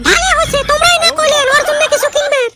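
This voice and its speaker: A shrill-pitched, nagging village wife. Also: female voice, fairly even intonation, quick pace, loud music behind the voice. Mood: insistent. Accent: Rajbongshi. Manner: scolding, sarcastic. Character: sharp-tongued, nagging village wife and mother. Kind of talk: comic cartoon dialogue.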